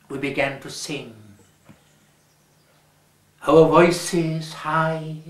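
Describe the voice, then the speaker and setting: A man with a Welsh accent reading a story aloud, measured and lingering on his words. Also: fairly high pitch. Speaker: reader. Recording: roomy echo.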